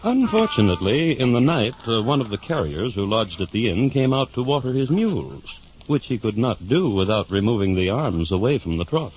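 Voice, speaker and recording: A man narrating a literary tale in a measured, formal 1950s radio storytelling manner. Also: medium pitch, very animated, a little hiss or hum, natural voice. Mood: storytelling, wry. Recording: muffled 1950 radio recording.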